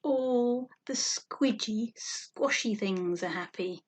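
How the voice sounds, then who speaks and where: A woman reading a picture book aloud to young children, animated and sing-song, drawing out words and asking questions. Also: a little high, takes it slowly, breathy edge. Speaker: storytime reader for young children.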